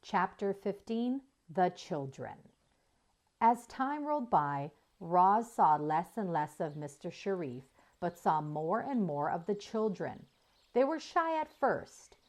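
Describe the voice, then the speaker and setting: Animated, unhurried woman storyteller. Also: lowish voice. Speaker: storyteller reading a children's book aloud. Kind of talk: expressive scripted children's narration.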